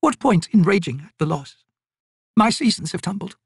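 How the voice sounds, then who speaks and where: Brief: ancient wisewoman, grieving and bitter, ragged high voice, breathy, dry booth. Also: sing-song, normal speed, dry recording, exaggerated voice. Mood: resigned. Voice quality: cracked, ragged.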